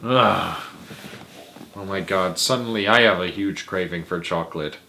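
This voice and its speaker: A man narrating a children's storybook aloud in a theatrical, emphatic way, with dramatic pauses and stretched words. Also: low-pitched, gravelly, sharp tone, slight background noise. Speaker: host of a read-aloud story series.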